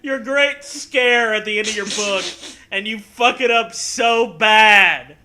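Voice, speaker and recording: Super bright highish guy voice, sweary sarcastic riffing, just making fun of stuff casually. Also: slow, slight background noise. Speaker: co-host riffing on a story. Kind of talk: casual comedic commentary.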